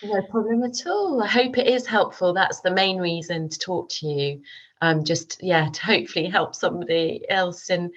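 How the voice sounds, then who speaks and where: A woman's voice: fairly low pitch, normal speed, lo-fi sound. A woman guest with a cold, talking plainly and candidly in an interview. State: has a cold. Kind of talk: interview conversation.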